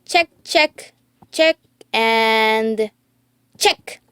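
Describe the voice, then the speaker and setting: A woman voicing a childlike, excitable male imaginary-friend creature from a storybook, with simple exclaiming delivery. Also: a little high, drawn-out words, clean tone, exaggerated voice. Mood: eager.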